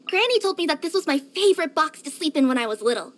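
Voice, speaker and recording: A woman voicing a cheerful, eager young female cat yokai courier in a video game, with an expressive, cutesy delivery and little hesitant murmurs. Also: high-pitched, fast talker. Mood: warm, chatty. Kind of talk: scripted video game character voice lines.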